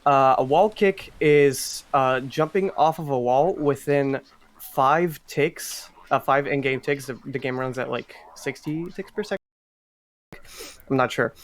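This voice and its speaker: A man with a medium-pitched voice commentates on a video game speedrun. He explains things in a halting way, doubling back over his words.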